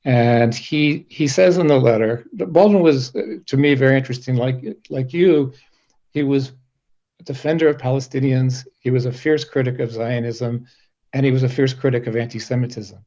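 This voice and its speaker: A man moderating a panel, putting measured questions in careful, earnest phrasing. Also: medium pitch, fairly even intonation, warm tone, dull audio.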